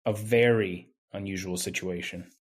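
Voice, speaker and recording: A man's voice: low voice, steady tone, moderate pace, breathy edge. A man playing a wronged young medical intern, bitter and brooding, in old-time radio drama style.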